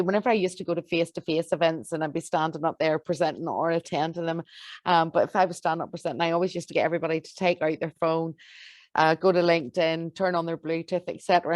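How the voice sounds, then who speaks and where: Lady hosting a webinar, lowish voice, dry sound, rambles a bit, upbeat. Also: fairly even intonation, quick pace, no room sound. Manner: chatty, enthusiastic, many fillers. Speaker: webinar host.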